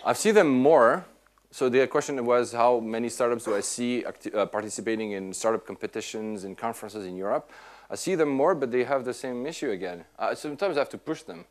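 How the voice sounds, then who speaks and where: A Swiss-born man answering audience questions in casual, animated talk, often restarting his sentences. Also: mid-range voice, fairly quick. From Switzerland. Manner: animated, many restarts and repeats. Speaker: tech speaker answering questions. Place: lecture room at an institute.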